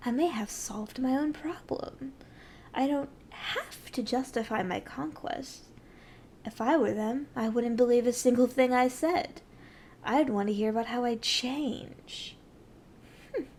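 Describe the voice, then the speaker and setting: A woman's voice: high-pitched, darkish tone, a little hiss or hum. A woman playing a haughty, condescending evil sorceress queen in an ASMR roleplay, with an imperious, theatrical and mocking delivery.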